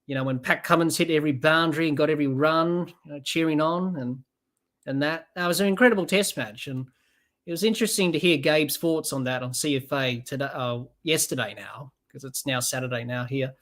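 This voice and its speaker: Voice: medium pitch, brisk, fairly bright tone. A person in their twenties with an Australian accent, hosting a casual live cricket podcast, chatting loosely and reading out viewer comments between balls. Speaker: podcast host.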